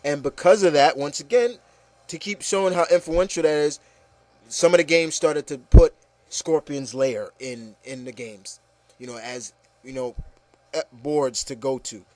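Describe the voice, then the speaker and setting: A man's voice: a little high, unhurried, a little mellow, no echo. Rambling, enthusiastic man hosting casual movie chat. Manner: rambling, often says "you know". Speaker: host of an opinion show.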